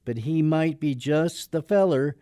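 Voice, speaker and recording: A man playing a wry mortgage man with a soft heart in a radio drama, speaking dryly and earnestly. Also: fairly high pitch, measured pace, no echo, clean studio sound, lightly acted. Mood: persuasive.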